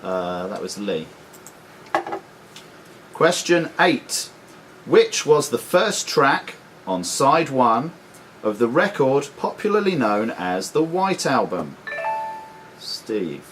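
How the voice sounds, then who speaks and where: Quizmaster over noticeable background noise. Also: male voice, medium pitch, very animated, slow pace, long pauses. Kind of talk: reading quiz questions at a live club quiz. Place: sports and social club room. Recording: clearly noisy live club recording.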